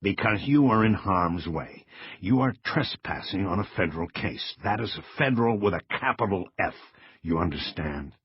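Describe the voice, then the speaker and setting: A man's voice: sits low, animated, normal speed, husky, no echo, lo-fi sound, heavily acted. A man playing a menacing federal agent in an audiobook, taunting and threatening in a hard-edged way.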